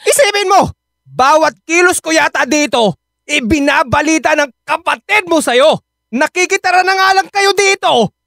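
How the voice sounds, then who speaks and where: An actor playing a hot-headed, manipulative husband who argues and wheedles in a radio drama. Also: male voice, extremely high pitch, expressive, normal speed, sharp tone, dry recording, clean studio sound, full character voice. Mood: defiant anger. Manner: argumentative, wheedling.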